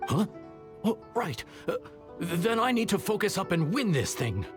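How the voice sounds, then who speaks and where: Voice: medium pitch, very animated, moderate pace, close to an everyday voice. An actor voicing a nervous, self-doubting young male chef in a video game, hesitant and stammering, with music behind. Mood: nervous, flustered. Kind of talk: English video game voice acting.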